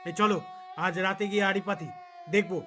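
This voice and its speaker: A man's voice: high voice, fairly even intonation, normal speed, music in the background, close to an everyday voice. A man voicing a sly, greedy and cowardly village husband in a melodramatic cartoon style. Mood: sly, scheming. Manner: melodramatic, emphatic. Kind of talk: cartoon voice acting.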